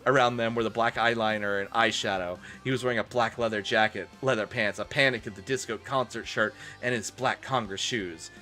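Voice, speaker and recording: A man's voice: on the low side, fairly even intonation, fairly quick, hardly any pauses, pushed and bright. A man giving a comic dramatic reading for a live stream, theatrical and often breaking off into amused asides. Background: music.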